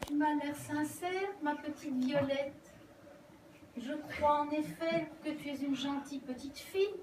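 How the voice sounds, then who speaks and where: A woman acting as a gentle, lonely bird fairy in a family stage play, with a soothing, theatrical delivery. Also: high-pitched, unhurried. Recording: echoey room, far from the mic, loud background noise.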